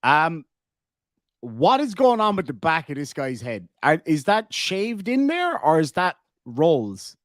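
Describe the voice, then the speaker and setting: A man's voice: a little high, wildly swooping pitch, slow pace, dry recording, studio quality. A man with an Irish accent hosting a live stream, joking sarcastically and reading out viewer messages. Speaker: livestream host reacting to videos. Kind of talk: casual live commentary.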